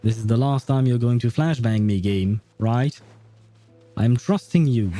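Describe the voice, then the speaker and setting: A man giving casual livestream commentary on a game, musing aloud and pausing to think. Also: medium pitch. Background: soft game music.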